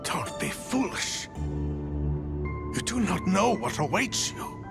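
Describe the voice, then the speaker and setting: An actor playing a grave, cautious village keeper in a game cutscene, speaking in formal, measured phrases. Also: male voice, high voice, very animated, gravelly, exaggerated voice. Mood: stern warning. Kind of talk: dramatic video game cutscene acting. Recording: music behind the voice.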